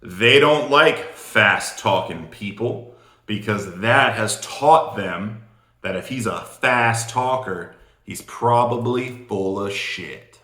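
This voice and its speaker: A man's voice: on the low side, slow pace, sharp tone. A man giving an instructional sales talk as a coach, emphatic and informal, blunt, repeating words for punch. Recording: roomy, echoing room sound.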